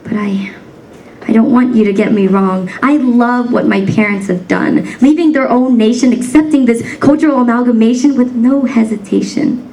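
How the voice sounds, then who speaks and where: A woman's voice: a little high, normal speed, mellow, distant mic, rough recording. A young woman from the United States performing a spoken word poem in a rhythmic, rhyming cadence, emphatic and defiant. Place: banquet hall stage.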